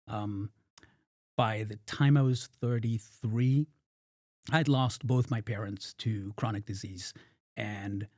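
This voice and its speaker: A middle-aged man from Argentina, a company founder giving measured, thoughtful interview answers. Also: mid-range voice, a little mellow, no echo, studio quality. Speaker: startup founder and guest.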